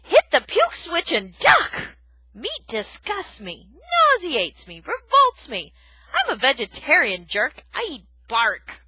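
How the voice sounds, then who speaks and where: Woman putting on a blustering old porcupine, loud and grumpy, storybook, high and lively, dry sound. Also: measured pace, no echo, heavily acted. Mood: indignant. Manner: blustering, shouting.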